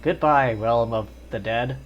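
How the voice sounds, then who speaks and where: A man's voice: mid-range voice, unhurried, lo-fi sound, a little hiss or hum. A man doing casual live game commentary as a streamer, with offhand, wry reactions.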